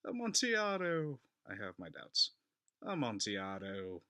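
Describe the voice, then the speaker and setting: A man's voice: on the low side, huge pitch swings, a little slow, sharp tone, dry recording, exaggerated voice. A man playing a drunken, boastful Italian wine connoisseur in a dramatic audiobook reading, exclamatory and dismissive. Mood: astonished, excited.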